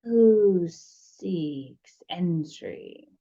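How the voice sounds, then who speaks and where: Hissing, thin voice, very slow, menacing serpent gatekeeper, suspicious, storybook fantasy, acted by a woman. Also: fairly low pitch, animated, warm tone, lo-fi sound, full character voice. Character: menacing snake's-head door guardian.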